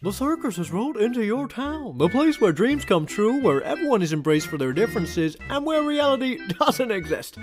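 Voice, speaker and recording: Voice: mid-range voice, big pitch swings, moderate pace, few pauses, lightly acted. A performer plays a theatrical circus announcer from a short musical film, beckoning listeners in. The delivery swoops up and down, with music playing behind it. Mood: grand, inviting.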